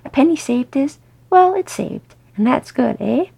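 A woman's voice: high-pitched, expressive, measured pace, gravelly, warm tone, dry recording, voice pushed up, full character voice. A woman voicing a blithe, rambling male dwarf with folksy speech in a fantasy story. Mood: blithe, cheerful.

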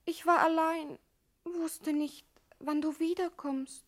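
A woman's voice: very high-pitched, fairly even intonation, slow, full character voice. A woman playing a gangster's coquettish, devoted young girlfriend, pleading and wheedling in a 1960s radio crime comedy. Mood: defensive, plaintive.

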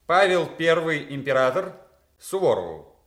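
An actor playing a formal imperial cavalry general acting as courier in a radio drama, speaking in a measured, ceremonious military manner. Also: male voice, fairly high pitch, sing-song, fairly bright tone. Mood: solemn, proclaiming.

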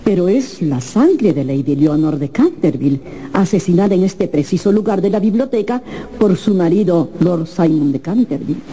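An actress playing a very old, solemn castle housekeeper in a radio drama, formal, deferential and ominous. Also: female voice, lowish voice, mellow, a little hiss or hum, rough recording.

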